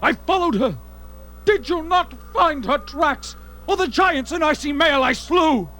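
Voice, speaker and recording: A man playing a battle-worn barbarian warrior in an audio drama, intense and bewildered, with halting, archaic speech. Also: very high voice, lively intonation, bright, forceful tone, soft background music, exaggerated voice. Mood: fevered.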